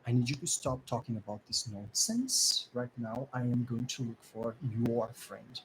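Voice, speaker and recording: A man's voice: mid-range voice, measured pace, slight background noise, lightly acted, rough recording. A man doing a stern, impatient searcher. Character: stern worried man searching for lost kids.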